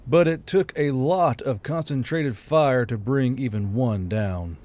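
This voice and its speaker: A man's voice: medium pitch, unhurried, darkish tone. A man narrating an audiobook story in a dramatic, scripted style.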